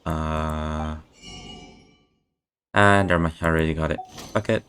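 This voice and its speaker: A man streaming a game, mumbling casual low asides as he plays. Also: fairly even intonation, a little slow, fairly bright tone, soft background music. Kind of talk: casual muttered commentary.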